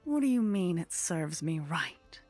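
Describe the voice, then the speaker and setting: Woman acting a slow, breathy, flirtatious vampire lady. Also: on the low side, big pitch swings, a little mellow, dry recording, faint music behind. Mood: startled, indignant. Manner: slow, teasing, breathy murmur slipping into whispers. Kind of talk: ASMR roleplay. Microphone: close microphone.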